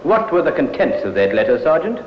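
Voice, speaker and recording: Courtroom prosecutor: highish, swooping declamatory delivery, formal, echoing on a muffled radio recording. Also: male voice, sing-song, a little fast. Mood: probing, courteous. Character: formal, probing male Crown prosecutor in court. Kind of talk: 1950s radio drama acting. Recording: echoey hall, muffled noisy old radio.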